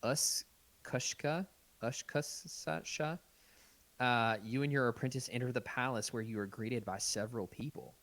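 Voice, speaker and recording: A man's voice: medium pitch, measured pace, a bit bright, no echo. A man reading text adventure game narration aloud and chatting casually as a podcast host.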